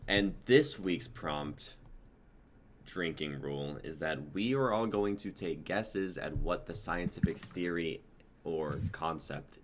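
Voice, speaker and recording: Low-voiced young man hosting, noisy audio. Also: a bit bright. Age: 20s. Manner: informal, hyped, swears casually. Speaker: host of a livestreamed script-reading show. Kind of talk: casual livestream hosting. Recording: noisy home livestream audio.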